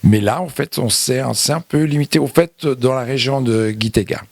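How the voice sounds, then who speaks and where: Halting man with a creaky voice. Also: medium pitch, darkish tone, no echo. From Europe. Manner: halting, repeats words and phrases. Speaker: interview guest, visitor to Burundi. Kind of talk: unscripted interview answers.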